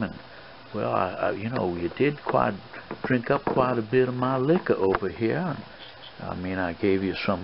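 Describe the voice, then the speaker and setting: A man playing an elderly colonel and swashbuckling gambler in an ASMR role-play, courtly and boastful, with a folksy, rambling, hesitant delivery. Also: fairly low pitch. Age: older. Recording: dull lo-fi sound with clear background noise.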